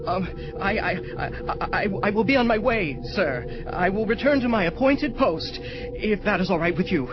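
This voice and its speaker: An actor playing a terrified, obsequious angel who stammers deferentially. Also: male voice, high-pitched, expressive, normal speed. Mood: frightened. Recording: dull lo-fi audio under loud music.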